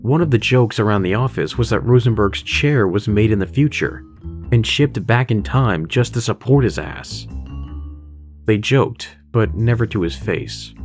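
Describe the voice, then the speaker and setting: A man's voice: lowish voice, a little mellow. A man reading scripted story narration as the narrator of an audio horror story. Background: music bed with guitar.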